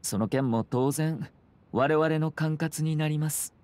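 An actor voicing a suave young noble lord in a video game, speaking formal, measured with courteous composure and subtle wryness. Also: male voice, fairly high pitch, darkish tone, no echo. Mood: courteous, composed.

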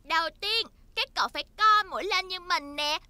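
A woman's voice: very high voice, moderate pace, pushed and bright, no room sound, heavily acted. A woman voicing a friendly, eager little girl rabbit in a dubbed children's cartoon.

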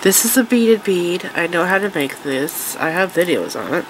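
Woman, nasal stuffy voice, lowish pitch, chatty, noisy background. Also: lively intonation, moderate pace. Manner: chatty, enthusiastic, with fillers and restarts. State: congested, nasal from allergies. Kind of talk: casual show-and-tell chat. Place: indoors at a tabletop, overhead camera on hands.

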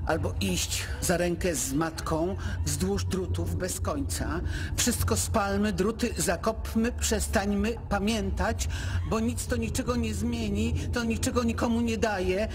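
An actress playing an anguished, worn-out museum guide in a radio drama, speaking haltingly and dramatically with long pauses. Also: female voice, on the low side, lightly acted. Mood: anguished, desperate.

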